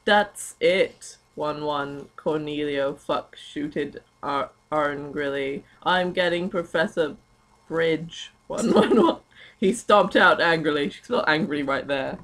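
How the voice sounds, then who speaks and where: Slow, bright-voiced young British reader. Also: mid-range voice. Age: young adult. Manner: giggly, breaking off into asides. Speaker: amateur dramatic reader of fan fiction. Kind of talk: mock-dramatic reading aloud mixed with casual chat.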